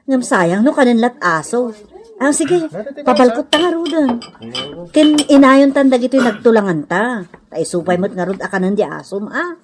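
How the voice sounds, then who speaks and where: An actress playing a well-off, generous madam with an Ilocano accent in a comedy radio drama, speaking in an animated, coaxing way. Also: female voice, on the high side, moderate pace, close to an everyday voice. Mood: chatty. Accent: Filipino, Ilocano.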